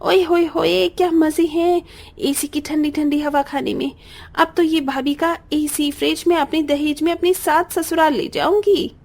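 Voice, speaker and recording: A woman's voice: high-pitched, steady tone, unhurried, a little mellow. A woman playing a greedy, spoiled young sister-in-law in a cartoon, wheedling with sugary, theatrical pleading. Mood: gloating. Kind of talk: Hindi cartoon family-drama acting.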